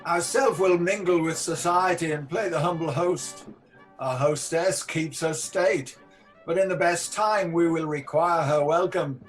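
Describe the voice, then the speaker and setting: A man's voice: fairly high pitch, unhurried, faint music behind, natural voice. An amateur actor playing a guilt-haunted tyrant king with a hospitable surface in a Shakespearean radio play. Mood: grandly welcoming.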